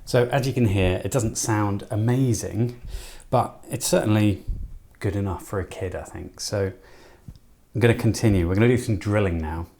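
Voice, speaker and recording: Male maker, fry-heavy voice, tentative and self-deprecating casual demo, light background noise. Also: lowish voice, normal speed, mellow. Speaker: host of an electronics project channel. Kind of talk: casual hands-on build demonstration, thinking aloud.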